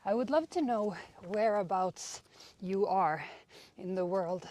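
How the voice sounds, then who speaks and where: A woman's voice: mid-range voice, slow pace, a little mellow, no room sound. A young woman, a non-native English speaker, chatting casually and encouragingly as she leads a workout outdoors. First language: not English. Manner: encouraging, conversational. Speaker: workout instructor and host. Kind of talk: casual workout instruction and chat.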